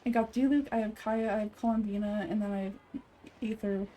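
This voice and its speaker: A woman's voice: mid-range voice, fairly even intonation, a little fast, warm tone, slight background noise, rough recording. A woman chatting casually as she plays a game and voices its characters. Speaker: amateur voice actor streaming a game. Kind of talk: casual chat while playing.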